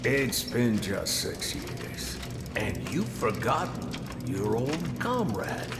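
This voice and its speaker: Slow, pompous rat gang boss. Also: male voice, mid-range voice, big pitch swings. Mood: smug, commanding. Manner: pompous, drawn-out. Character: swaggering rat gang boss, smug old comrade. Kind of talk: video game cutscene acting. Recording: echoey, loud machinery noise behind.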